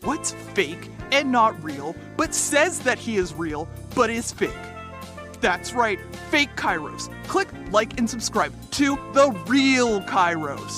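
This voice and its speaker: Smug playful youtuber type, drawn out slow, bouncy pitch, music going. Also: sing-song. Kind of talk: scripted promo riddle. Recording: music clearly behind the voice.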